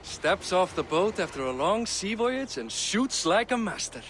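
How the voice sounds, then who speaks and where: A proud, pragmatic Viking king for a video game. He is middle-aged, with a voice a little high for a man. Clear outdoor noise sits behind his words. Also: big pitch swings, measured pace. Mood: proud, friendly. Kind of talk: game voice acting.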